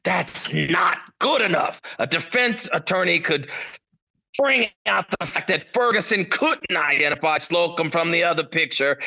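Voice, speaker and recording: A man's voice: a little high, vocal fry, sharp tone, full character voice. An actor playing a blunt, demanding male police captain in a radio drama. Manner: blunt, insistent.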